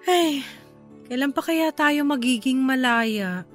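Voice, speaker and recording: Affectionate, stifled young Filipino woman; higher-pitched voice, radio drama, music underneath. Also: lively intonation, slow. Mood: frustrated, worried. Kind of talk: Tagalog radio drama acting.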